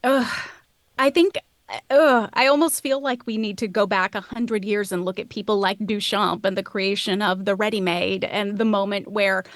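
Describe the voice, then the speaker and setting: Woman, medium pitch, reflective guest expert in conversation, thinks aloud, very dry recording. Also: steady tone, normal speed, dry recording. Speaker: podcast host as guest expert. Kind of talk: conversational interview answers.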